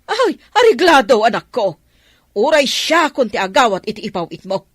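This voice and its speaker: A woman playing a sharp-tongued, scheming old grandmother in a radio drama, with a Filipino accent. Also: fairly high pitch, very animated, moderate pace, no echo, clean studio sound. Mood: pleased, fawning.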